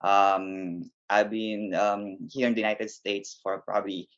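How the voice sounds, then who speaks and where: A man from the Philippines, a nurse, answering interview questions in a relaxed way with many fillers. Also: lowish voice, measured pace. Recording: dull, lo-fi sound.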